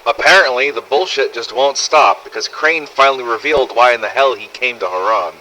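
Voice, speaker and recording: A man's voice: mid-range voice, fairly quick, pushed and bright, a little hiss or hum, close to an everyday voice, poor audio. A man playing a brash, foul-mouthed survivor of a zombie apocalypse, telling a scripted audio log in a blunt, sardonic, conversational way. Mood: angry, exasperated. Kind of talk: scripted first-person audio log narration.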